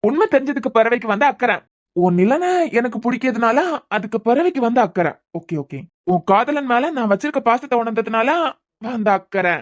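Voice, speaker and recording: Woman playing a husband, young, bitter, sarcastic, fast-talking, repeats himself in anger. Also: a little high, close to an everyday voice. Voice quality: sarcastic, bitter, repeating words when angry. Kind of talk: amateur audio drama.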